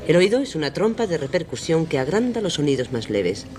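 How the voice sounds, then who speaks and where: Lively, high-voiced scientist. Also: male voice, fairly quick, slight background noise. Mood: explanatory. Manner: didactic, declamatory. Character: learned, confident scientist explaining the human body. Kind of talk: radio theatre acting.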